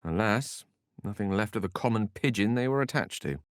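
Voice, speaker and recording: A man acting an eloquent, theatrical wizard, richly expressive. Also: fairly low pitch, moderate pace, dry recording, clean studio sound, close to an everyday voice. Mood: wry, amused. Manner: eloquent, wry, theatrical phrasing. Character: erudite, witty, theatrical male wizard with courteous irony. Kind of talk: fantasy video game voice acting.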